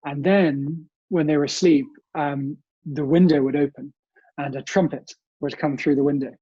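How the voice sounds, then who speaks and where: A man's voice: on the high side, steady tone, normal speed, mellow, husky, dry recording, lo-fi sound. A young British man speaking politely as an interview guest, telling family memories in a conversational way. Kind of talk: conversational interview answers, reminiscing. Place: remote recording from home.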